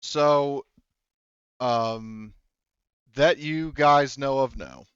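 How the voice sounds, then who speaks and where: A man working as game master, improvising tabletop game narration in a halting, rambling, informal way. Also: mid-range voice, clean tone, no echo, muffled recording.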